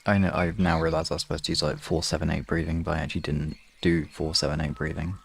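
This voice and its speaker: A man's voice: rumbling low, flat delivery, fast, vocal fry, a little hiss or hum. A man chatting casually on a live stream in a hesitant, rambling, self-questioning way, as host of relaxation sessions. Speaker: hypnosis ASMR streamer.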